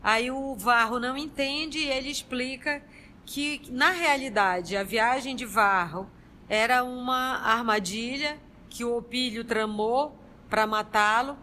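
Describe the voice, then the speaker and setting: A Brazilian woman informally retelling a story to a study group, halting as she searches for words. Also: a little high, bright, forceful tone, a little hiss or hum. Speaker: study group member giving a recap.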